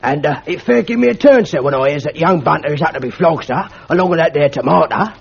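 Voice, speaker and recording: Old male school porter, deferential but stubborn, rough dialect; played by a man. Raspy, fairly high. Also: lively intonation, fast, heavily acted. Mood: aggrieved. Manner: ungrammatical dialect, deferential, keeps saying "sir". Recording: lo-fi old archive recording.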